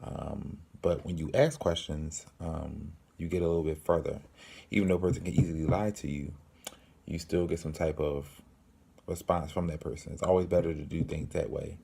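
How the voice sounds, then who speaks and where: A young man in his twenties with a very low, gravelly voice and a dark, mellow tone. He speaks flatly and earnestly, pausing often with ums as he answers viewer questions for his channel. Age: 20s. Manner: slow, halting, many ums, earnest. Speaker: channel host. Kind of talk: casual Q and A to camera.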